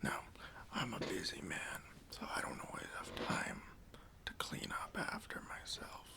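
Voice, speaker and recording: Man playing a whispering, creaky, pompous boss. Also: deep voice, wildly swooping pitch, exaggerated voice. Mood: demanding, condescending. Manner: pompous, condescending, halting with drawn-out pauses. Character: self-important, demanding, petty male boss conducting a job interview. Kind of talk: whispered ASMR roleplay.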